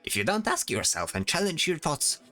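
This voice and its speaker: A man playing a chatty, fussy, worrying bard with an expressive way of talking in a fantasy audio drama. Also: fairly high pitch, moderate pace, heavily acted. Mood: concerned. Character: chatty, complaining, worrying bard disguised in knight's armor.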